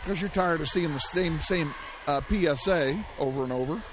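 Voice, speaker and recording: A man calling a high school basketball game play-by-play, hoarse and losing his voice, shouting the big plays and chatting between them. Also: moderate pace. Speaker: play-by-play announcer. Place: high school gym broadcast. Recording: loud gym crowd and noise behind.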